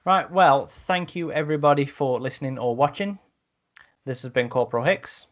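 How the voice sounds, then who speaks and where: A man's voice: a little high, moderate pace. A man hosting a podcast in a casual, chatty, matey way, speaking informally to listeners. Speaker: podcast host.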